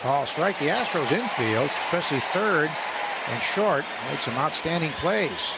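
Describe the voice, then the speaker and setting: A man's voice: on the high side, big pitch swings, unhurried, gravelly. A man working as a radio play-by-play announcer, giving live baseball commentary. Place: stadium broadcast booth. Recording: ballpark broadcast, loud crowd noise. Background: loud stadium crowd.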